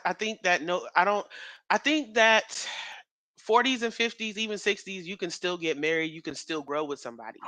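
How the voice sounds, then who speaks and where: A man in his thirties chatting casually as a podcast co-host, rambling with fillers and quick laughs. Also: high-pitched, pushed and bright, no room sound. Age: 30s.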